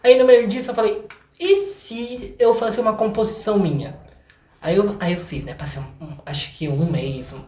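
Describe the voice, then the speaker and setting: A man's voice: high-pitched, normal speed, darkish tone, a little hiss or hum. An eighteen-year-old Brazilian man chatting casually as an interview guest, telling stories with slangy asides and acted-out quotes. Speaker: guest, singer-songwriter. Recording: echoey room.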